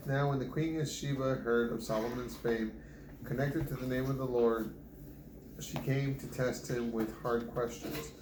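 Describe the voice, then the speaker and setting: A man's voice: mid-range voice, measured pace. Man reading scripture aloud at home. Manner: steady reading with frequent restarts. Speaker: Bible reader. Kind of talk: family Bible reading aloud. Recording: echoey room with background noise.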